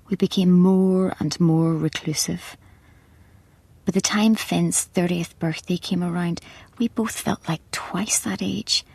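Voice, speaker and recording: A woman's voice: fairly low pitch, creaky, a little mellow, slightly rough, exaggerated voice. An actress playing a sombre woman looking back on hard years, narrating a radio drama in the first person.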